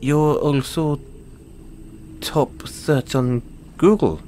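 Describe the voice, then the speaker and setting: A man's voice: fairly high pitch, drawn-out words, warm tone, slight background noise. A man with a British accent playing a cocky, foul-mouthed, stammering Dullahan social media wannabe in a comedic audio roleplay. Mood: boastful. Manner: stammering, sweary, boastful.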